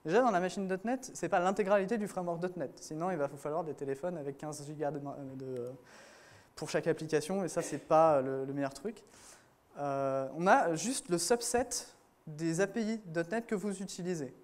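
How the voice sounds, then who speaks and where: A man's voice: a little high, normal speed. A man giving a casual technical talk to a room of developers at a conference, with many fillers and restarts. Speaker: conference speaker.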